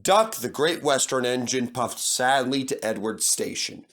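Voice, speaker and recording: A man narrates a children's story in scripted storybook style. He takes his time over each phrase at a middle pitch. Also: lively intonation, unhurried, fairly bright tone. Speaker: storyteller, fandub reader.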